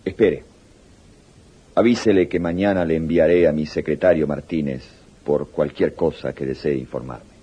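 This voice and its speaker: Stern, very deep-voiced dictator. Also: male voice, moderate pace. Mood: cold, curt. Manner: authoritative, clipped. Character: stern, curt, imperious male dictator. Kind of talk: 1980s radio drama acting. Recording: dull, noisy old radio recording.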